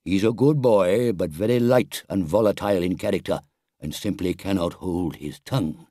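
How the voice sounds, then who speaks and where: A man voicing a stern, wise older badger in a storybook, speaking gravely and deliberately with authority. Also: low-pitched, lively intonation, darkish tone, dry recording, clean studio sound. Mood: severe.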